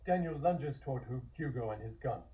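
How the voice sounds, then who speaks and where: A man's voice: mid-range voice, expressive, fairly quick, dark tone, lo-fi sound. A man reading scripted horror stage directions as the narrator of a table read.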